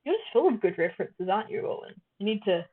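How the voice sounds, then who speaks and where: A woman's voice: medium pitch, very animated, fast talker, breathy edge, phone-quality sound. Young kiwi woman streaming, voice kinda tired, lots of ums, thin phone-ish sound. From New Zealand. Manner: chatty, laughs often, many fillers, answers chat as she goes. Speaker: livestream host. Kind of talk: casual chat with a live chat, summarizing a reading.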